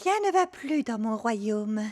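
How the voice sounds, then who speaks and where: A woman voicing an excitable, dramatic royal lady in a cartoon. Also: a little high, measured pace, in a large hall. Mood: agitated. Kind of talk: French cartoon dub acting.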